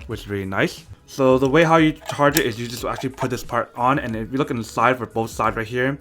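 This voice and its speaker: A man's voice: medium pitch, fairly bright tone. A man casually unboxing and reviewing a gadget, chatty and addressing viewers directly. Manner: chatty, keeps addressing viewers as "you guys". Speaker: product reviewer.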